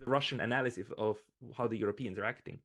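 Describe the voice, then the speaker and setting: A man's voice: fairly low pitch, fast, a bit bright. A man talking spontaneously as the interviewer in a political conversation.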